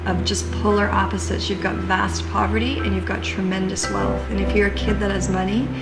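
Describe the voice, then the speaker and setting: A woman's voice: mid-range voice, flat delivery. A woman from Canada, a rugby charity co-founder, speaking fluently and with passion about the children she works with. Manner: fluent, impassioned, measured emphasis. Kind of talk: interview and documentary testimony. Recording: loud background music.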